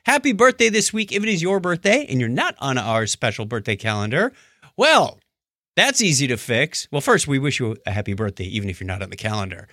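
A man's voice: medium pitch, big pitch swings, brisk, pushed and bright, no echo, studio quality. A man hosting a podcast with playful, theatrical announcing and casual comic banter. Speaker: podcast host.

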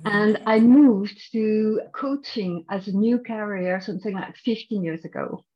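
A woman's voice: mid-range voice, unhurried, muffled recording. A middle-aged woman with a French accent, a guest coach explaining her ideas thoughtfully in a podcast interview, often restarting her sentences. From France.

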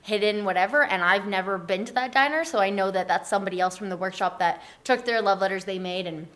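A woman with a mid-range voice talks as a guest in a loose group conversation. She is chatty and informal, with a playful, animated delivery that sounds like friends swapping stories. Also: medium pitch, fast. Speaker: guest on a talk show. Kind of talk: casual, animated group chat.

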